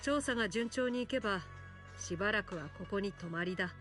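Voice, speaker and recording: A brisk, businesslike character from a video game, played by a voice actor. The lines are scripted and come very quickly, over faint background music. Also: mid-range voice, a bit bright, natural voice. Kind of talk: scripted Japanese game voice acting.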